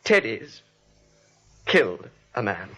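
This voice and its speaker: An actor playing a flustered, frantic nephew who sputters and repeats himself in disbelief, in 1940s screwball comedy style. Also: male voice, fairly low pitch, sing-song, slow pace, bright, forceful tone, full character voice. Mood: urgent, grave. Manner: sputtering, repeats words, incredulous. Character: frantic, flustered nephew, a theatre-going writer. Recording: muffled, dull vintage 1940s soundtrack.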